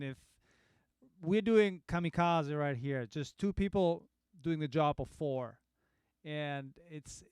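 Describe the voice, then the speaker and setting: A man, a bass player co-hosting a studio livestream, talking in an easygoing way with frequent pauses and restarts. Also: smooth, no echo. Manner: halting, many ums and restarts, easygoing. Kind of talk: casual livestream chat. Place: recording studio.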